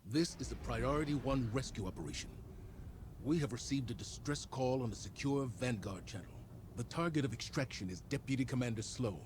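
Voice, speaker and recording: An actor playing a grave, resolute military commander, giving orders and briefings in a solemn, measured, authoritative way for a video game. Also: male voice, medium pitch, expressive, noisy background, natural voice.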